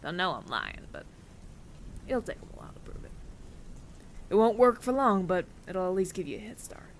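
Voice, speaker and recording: Man acting remorseful bounty hunter, audio roleplay, hushed and breathy, gravelly fry, widely swinging pitch, weary deliberate pauses. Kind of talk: dramatic ASMR audio roleplay monologue. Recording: clear rain noise behind the voice. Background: rain.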